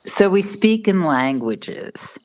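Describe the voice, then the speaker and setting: A middle-aged woman astrologer giving a casual weekly forecast, talking in an unhurried, rambling way with restarts and soft laughs. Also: lowish voice, big pitch swings, poor audio. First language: not Spanish (lives in Mexico).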